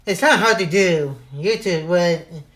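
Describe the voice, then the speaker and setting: Slow-talking young man hosting a stream. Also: sits high, a bit bright. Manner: short replies, unhurried. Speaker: live stream host. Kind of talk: casual chat on a live stream. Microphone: voice call.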